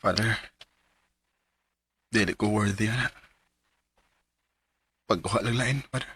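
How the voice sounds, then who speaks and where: A man's voice: fairly low pitch, slow pace, a bit bright, husky, full character voice. An actor playing a hesitant, guilt-ridden man weighed down by his past, in a radio drama. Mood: burdened. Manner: hesitant, heavy, sighing. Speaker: lead character.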